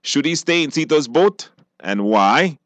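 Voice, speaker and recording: A man's voice: on the high side, expressive, a little slow, dry recording. A man with a Belizean accent hosting a live radio call-in show and talking to guests and listeners.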